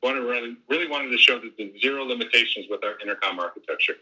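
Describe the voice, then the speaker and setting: A man's voice: mid-range voice, fast talker, pushed and bright, breathy edge, dull audio. A man in his forties explaining technical features conversationally over a video call. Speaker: technical specialist giving a demonstration. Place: home room, indoors, on a video call. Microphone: wired earbuds on a laptop video call.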